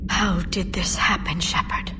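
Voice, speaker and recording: A woman's voice: fairly low pitch, steady tone, measured pace, whispered, lightly acted. An actress playing an earnest, grieving young alien woman scientist in a video game drama. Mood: grieving, guilty. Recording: music behind the voice.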